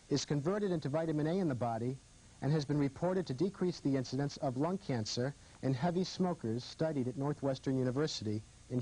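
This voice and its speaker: Mellow, husky American man presenting. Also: on the high side, low-quality recording. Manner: formal, measured presenting. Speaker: host and physician. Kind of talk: scripted TV narration.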